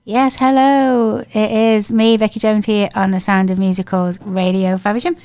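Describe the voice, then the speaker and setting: A woman with an English accent presenting a radio show, her voice croaky and hoarse from a cold, chatting in a gushing, rambling way. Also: mid-range voice, flat delivery, moderate pace, darkish tone, no room sound. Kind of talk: casual radio chat.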